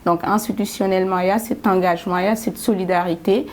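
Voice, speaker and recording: A woman answering as a studio guest, halting and repeating words as she goes. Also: medium pitch, steady tone, a little mellow. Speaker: development programme representative, studio guest. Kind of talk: spontaneous interview answers.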